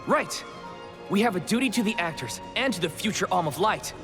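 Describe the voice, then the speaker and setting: An actor playing an earnest, dutiful young adventurer in a video game, speaking plainly. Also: male voice, sits high, expressive, brisk, music in the background. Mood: eager.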